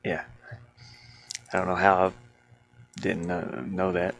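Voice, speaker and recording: A man's voice: sits low, completely flat delivery, a little slow, vocal fry, no room sound. A man casually thinking aloud in an amused, rambling way while commentating on his own game-building screen share. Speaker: indie game developer.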